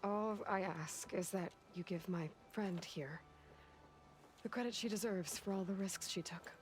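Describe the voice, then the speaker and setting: An actress playing a young, modest and frank heroine in a video game cutscene, with an American accent and a reassuring, natural delivery. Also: female voice, medium pitch, measured pace, natural voice. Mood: confident, reassuring. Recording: loud music behind the voice.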